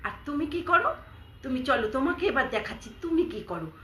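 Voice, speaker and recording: A woman voicing a small, humble sewing needle who calmly argues her case in a storybook tale. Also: sits high, fairly quick, slight background noise, voice pushed up. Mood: pleading. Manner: pleading, reasoning. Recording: echoey room.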